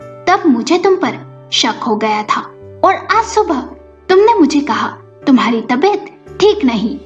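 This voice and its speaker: A wounded, stern wife acted out by a female narrator scolds and accuses in a high, dramatic voice. It is an audio-story performance with slightly muffled sound and music underneath. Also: very animated, unhurried. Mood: accusing. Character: betrayed married woman, stern, wounded and accusing.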